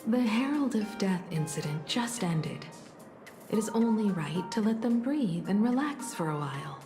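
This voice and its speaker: A woman's voice: medium pitch, expressive, moderate pace, close to an everyday voice. Woman acting a composed young woman, echoing room. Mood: calm, nostalgic. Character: composed, tender grown daughter with reflective temper. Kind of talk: video game voice acting. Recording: roomy echo, music behind. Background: music.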